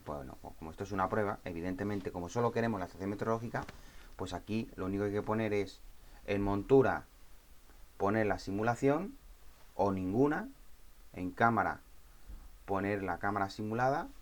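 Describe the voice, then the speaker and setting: A man presenting an informal step-by-step software tutorial in a conversational way, often checking understanding with short tag questions. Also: on the low side.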